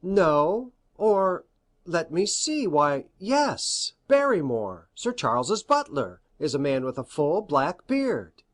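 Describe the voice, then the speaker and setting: A woman voicing an earnest, respectable gentleman doctor in a storybook, speaking in a precise, formal way. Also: low-pitched, very animated, slow, no room sound, clean studio sound, pitched below the performer's own voice, heavily acted. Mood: startled.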